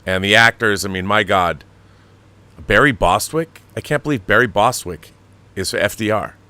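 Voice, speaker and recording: Bright, forceful low-voiced man hosting a show. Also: normal speed. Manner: effusive, enthusiastic. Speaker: show host and producer. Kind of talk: casual chat on a livestream show.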